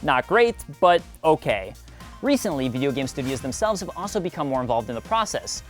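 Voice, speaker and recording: Fast, animated male video essay narrator. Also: medium pitch, soft background music. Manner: wry, conversational asides. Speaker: host of a video essay series.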